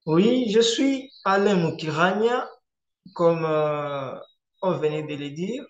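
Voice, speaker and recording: A man from eastern Congo, a Swahili speaker, giving a measured online presentation as a conservationist with frequent hesitation fillers. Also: on the high side, dull audio. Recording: roomy echo.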